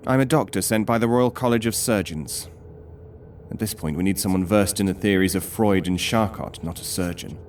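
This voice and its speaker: Male actor as troubled period physician, low pitch, brooding, formal, disdainful, horror audio play, noisy background. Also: normal speed, darkish tone, distant mic, lightly acted. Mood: defensive, formal. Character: troubled, disdainful brain doctor in Victorian London. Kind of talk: audio horror drama acting.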